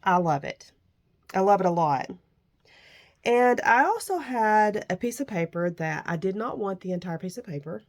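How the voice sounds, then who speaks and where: A woman hosting a crafting vlog, talking casually as she shows her handmade journal and layouts, her voice a bit nasal and rough from a cold. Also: mid-range voice, sing-song, normal speed. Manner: warm, gushing about handmade crafts. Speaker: crafting vlogger. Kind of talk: casual show-and-tell crafting chat.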